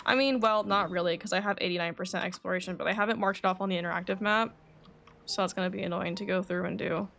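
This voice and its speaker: A woman's voice: on the low side, steady tone, fast, vocal fry, a bit bright. Woman game streamer chatting, faint background noise. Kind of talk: casual livestream chat and reading game dialogue aloud.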